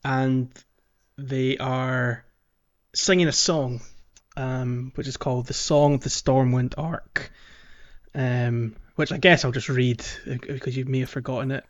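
A man's voice: medium pitch, steady tone, measured pace. A man co-hosting a podcast, chatting casually about a video game, recorded on a slightly muffled mic. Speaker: podcast co-host.

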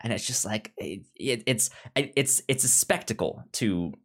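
A podcast host in a relaxed book discussion, with a voice of middling pitch. The delivery is halting, with words restarted and syllables repeated in a frequent stammer. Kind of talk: casual book review chat.

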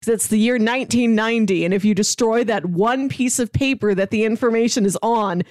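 A woman in her thirties with a fairly high voice, recorded dry and close. She co-hosts a casual pop culture podcast and talks in wry, rambling asides. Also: brisk, darkish tone, no room sound. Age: 30s. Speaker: podcast co-host.

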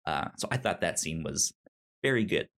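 A man's voice: low voice, expressive, normal speed, gravelly, fairly bright tone. A man co-hosting a podcast, chatting casually about film with a frequent stammer, repeating syllables and searching for words. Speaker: podcast co-host.

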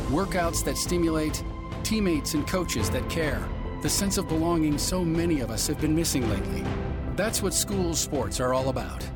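An American man reading a scripted public service announcement in an earnest manner. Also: fairly high pitch. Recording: loud music under voice.

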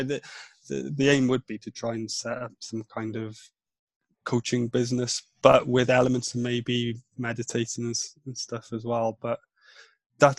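A man's voice: medium pitch, no echo. A Welsh man in his thirties, a podcast guest talking in a reflective way with many restarts. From Wales. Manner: reflective, halting with frequent restarts. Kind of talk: casual interview chat.

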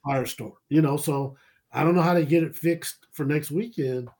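A man's voice: fairly high pitch, moderate pace, a little mellow. A man, chatting casually about sports, rambling and laughing often. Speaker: podcast guest.

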